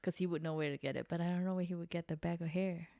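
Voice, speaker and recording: A woman co-hosting a fan podcast in casual chat, giggly and full of quick asides. Her voice is low and gravelly, with a dry, close sound. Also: steady tone, dark tone, no room sound. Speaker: podcast co-host.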